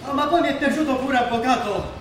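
An actor playing a sly, mocking accomplice with a teasing, wry delivery in a stage drama. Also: male voice, very high voice, fast. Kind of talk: staged radio drama acting. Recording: echoey stage from far off, noisy.

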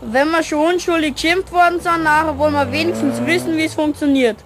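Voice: high-pitched, fairly quick, background noise. A young performer playing a curious, bossy schoolboy in a children's radio play, speaking plainly and cheekily with a Bavarian accent. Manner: plain-spoken, cheeky, boyish.

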